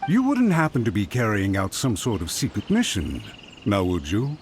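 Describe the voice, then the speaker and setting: An actor playing a sly gang boss in a video game, talking in a mocking, insinuating way. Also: male voice, on the low side, huge pitch swings, moderate pace, a little hiss or hum. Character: sly male gang boss confronting an official.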